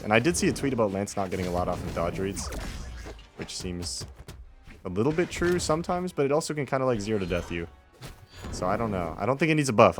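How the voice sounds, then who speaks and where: Low-voiced man rambling over gameplay. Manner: rambling, self-deprecating, wry. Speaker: player commentating own replay. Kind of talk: casual off-the-cuff gameplay commentary.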